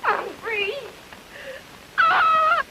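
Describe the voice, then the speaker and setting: Theatrical, petulant new wife: high voice, swooping intonation, vintage radio comedy-mystery. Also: female voice, lively intonation, drawn-out words, highs cut off, exaggerated voice. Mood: dramatic, petulant. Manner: theatrical, complaining. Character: melodramatic, petulant young bride on honeymoon. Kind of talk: old-time radio comedy-mystery acting. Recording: noisy old radio recording.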